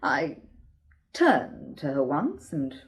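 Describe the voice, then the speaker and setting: A frank, sardonic woman speaks plainly about her sister in a radio drama. Her voice sits low for a woman. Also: wildly swooping pitch, slow pace, full character voice. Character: blunt worldly woman, sister of murdered woman.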